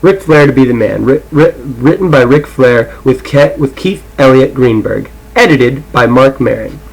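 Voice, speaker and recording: Amateur male audiobook reader, mid-pitched voice, loose and irreverent, casual and sweary. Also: moderate pace, creaky, darkish tone, slightly rough, rough recording. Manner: irreverent, foul-mouthed. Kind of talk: casual rambling talk and reading aloud.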